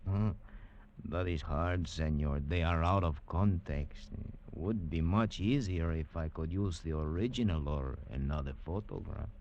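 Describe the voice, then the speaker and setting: An actor playing a courteous, sharp old Peruvian scholar in a 1940s radio drama. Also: male voice, a bass voice, lo-fi sound. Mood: intrigued, sly. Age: older. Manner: courteous, savouring, deliberate phrasing. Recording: old radio recording, faint noise.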